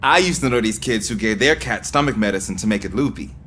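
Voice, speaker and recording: A man's voice: fairly low pitch, expressive, fast talker, a bit bright, a little hiss or hum. An actor playing an eager, chatty young male rookie cop in a video game, with a casual, wry way of talking. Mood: casual, excitable.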